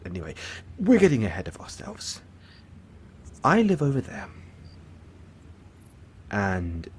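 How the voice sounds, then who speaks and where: A man's voice: medium pitch, a little mellow, slight background noise. A man playing a theatrical, boastful supervillain who gets flustered and stammers, in an audio roleplay monologue. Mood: flustered, defensive. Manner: pompous, stammering, dramatic swoops and villainous chuckles.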